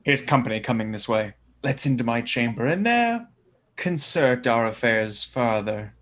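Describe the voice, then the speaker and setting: An actor playing a commanding young gentleman, with a theatrical, declamatory delivery. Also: mid-range voice, expressive, normal speed, natural voice. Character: young gentleman arresting a traitor, male, commanding. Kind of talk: stage-play reading.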